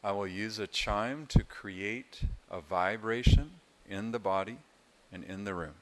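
A man with a very low voice guides a meditation session as a yoga teacher. He speaks very slowly and soothingly, leaving long pauses between short phrases. His delivery stays calm and unhurried. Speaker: yoga nidra meditation instructor.

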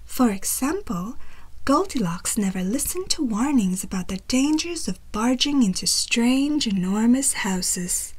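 Animated, high-voiced woman storyteller. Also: measured pace, slightly rough, poor audio. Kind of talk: picture-book read-aloud narration.

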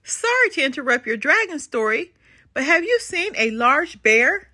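Young storybook bear cub, male, courteous and sincere, very lively pitch, voiced by a woman. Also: normal speed, heavily acted. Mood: polite. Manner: polite, earnest. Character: small, helpful, earnest boy bear cub. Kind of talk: children's storybook read-aloud.

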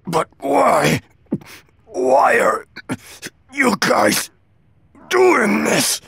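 An actor playing a badly wounded alien warrior in an anime game, straining raspily to speak in broken, gasping phrases. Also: male voice, extremely high pitch, big pitch swings, extremely slow, fairly bright tone, dry recording, heavily acted. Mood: anguished disbelief. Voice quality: raspy, strained. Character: badly wounded, loyal male alien warrior, near death. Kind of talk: dramatic dubbed anime game acting. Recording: music under the voice. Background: music.

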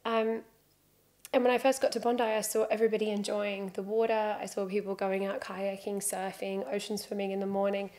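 A woman's voice: medium pitch, little pitch movement, fairly quick, darkish tone. A British woman in her thirties, a podcast guest chatting on a studio sofa and telling her story with self-mocking humor, close to a desk mic. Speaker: podcast guest, freediving instructor. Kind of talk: relaxed interview chat, storytelling. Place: studio sofa with plain wall. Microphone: headphones and desk microphone.

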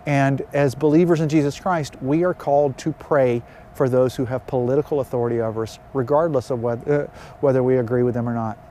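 A man in his fifties speaking as a pastor, giving announcements and gentle instruction in a measured, halting way, outdoors. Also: medium pitch, fairly even intonation, slight background noise. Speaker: pastor leading an online worship gathering. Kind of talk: spoken announcements and pastoral address. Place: outdoors beside a river.

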